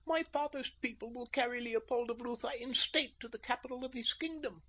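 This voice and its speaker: A man reading an audiobook, voicing a proud, defiant young noblewoman in a high, breathy falsetto. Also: fairly quick, sharp tone, heavily acted. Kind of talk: audiobook narration.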